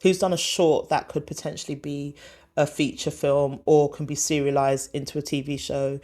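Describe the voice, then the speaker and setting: A woman, born in Ghana, a former TV comedy commissioner answering as a guest, emphatic and animated. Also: low voice. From born in Ghana, raised in West London. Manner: emphatic and animated, acts out quotes. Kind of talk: conversational interview answer.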